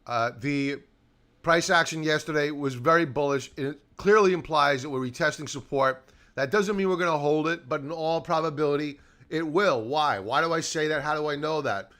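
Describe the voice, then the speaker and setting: A man with a slightly high, sharp and bright voice talks through market charts live as a trading host. He is informal but emphatic, restating his key points for stress and posing questions that he then answers himself. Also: moderate pace. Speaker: stock trading show host and technical analyst.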